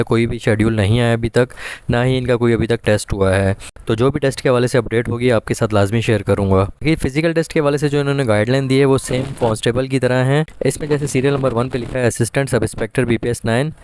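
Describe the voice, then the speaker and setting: A man's voice: mid-range voice, fairly even intonation, a little fast, a little mellow, smooth. A man with a Pakistani accent explains things methodically and informally, as a presenter giving guidance to candidates. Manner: instructional, methodical point-by-point explaining.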